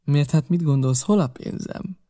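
A man voicing a scheming older male pirate cook who talks in a wheedling, boastful, flattering way, in an audiobook reading. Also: fairly high pitch, close to an everyday voice. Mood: sly boasting.

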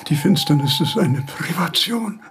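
An actor playing a frail, confused aged professor in a radio drama, speaking haltingly in fragments and repeating words. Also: male voice, fairly high pitch, animated, fairly bright tone, slight background noise. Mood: delirious, fearful.

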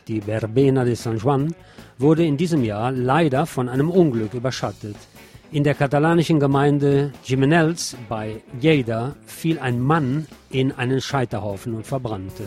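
A man's voice: medium pitch, darkish tone. A man reading scripted radio news and commentary in a wry, sardonic manner. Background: guitar music.

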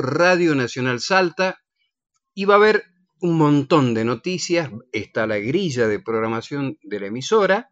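A man's voice: medium pitch, fairly bright tone, no room sound, lo-fi sound. An Argentine man hosting a radio show with casual, teasing banter. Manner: jokey, teasing. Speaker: radio host and director. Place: radio studio.

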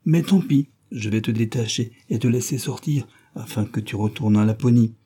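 A man's voice: medium pitch, moderate pace, warm tone, natural voice. A man voicing a wild, cruel little robber girl who talks in a fierce, teasing, bossy way.

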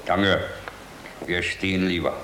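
An actor in a German radio play, playing a stubborn arrested worker, terse, guarded and defiant. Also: male voice, low voice, fairly even intonation, takes it slowly. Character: stubborn, guarded working-class prisoner under interrogation. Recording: echoey, noisy radio play recording.

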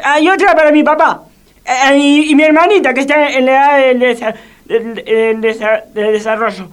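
Radio comedy role: a high-voiced, halting Argentine kid, hungry and sly, always making excuses. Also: male voice, steady tone, a bit bright, smooth. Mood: cheeky, grateful. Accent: Argentine Rioplatense. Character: hungry, sly, hesitant young neighbour boy. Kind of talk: comedic radio theatre acting.

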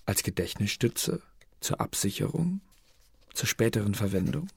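A fussy secret service archivist, with a gravelly voice and swooping melody. He announces documents pedantically in radio play style, over faint noise. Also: fairly low pitch, sing-song, moderate pace. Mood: pedantic.

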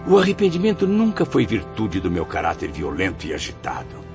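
An actor with a Brazilian accent playing a proud, embittered blind writer's spirit in a radio soap, declaiming theatrically. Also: male voice, deep voice, wildly swooping pitch, brisk, creaky, full character voice. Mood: bitter, remorseful. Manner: theatrical, declamatory, grandiose, with swelling and sinking emphasis. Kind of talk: radio soap opera acting. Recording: dull lo-fi audio, music behind.

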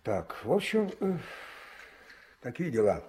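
Male Soviet dispatch boss, 1970s radio play: coaxing, husky, very animated, medium pitch. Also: a little slow. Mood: serious, pressing. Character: genial but pressing male river-port dispatch chief. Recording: old mono radio play recording.

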